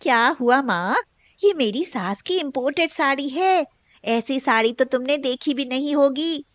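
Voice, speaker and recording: A woman voicing a firm, dutiful young daughter-in-law in an animated story. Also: high voice, measured pace, no echo. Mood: coaxing.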